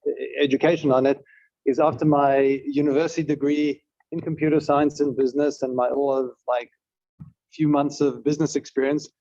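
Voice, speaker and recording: A man with a South African accent speaks at mid pitch, with a mellow, dark tone. He talks in an easygoing way with many fillers and restarts. The sound is dry and a bit muffled, like a dull event stream. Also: no echo. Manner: conversational, with frequent restarts and fillers. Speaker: guest speaker, tech CEO. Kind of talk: speech at a community event.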